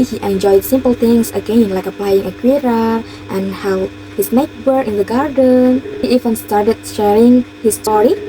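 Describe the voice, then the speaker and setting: Young Indonesian-accented woman, higher voice. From East Java, Indonesia. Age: young adult. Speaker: university student presenter. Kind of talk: rehearsed presentation and simple storytelling. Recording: clear background noise.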